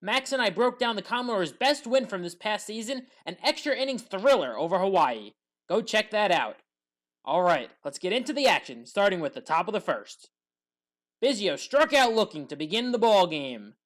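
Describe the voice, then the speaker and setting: A woman narrating scripted sports highlights play by play as a commentator, leaning hard on the big moments. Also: big pitch swings, normal speed.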